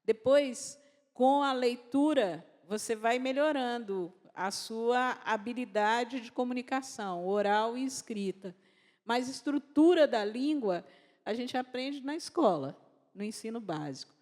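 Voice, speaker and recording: A Brazilian woman, an author speaking at a literary festival, talking unscripted in a measured way with pauses and restarts. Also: on the high side, darkish tone. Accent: Brazilian Portuguese. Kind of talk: unscripted talk answering audience questions. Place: lecture hall at a festival. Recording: long reverb of a large hall.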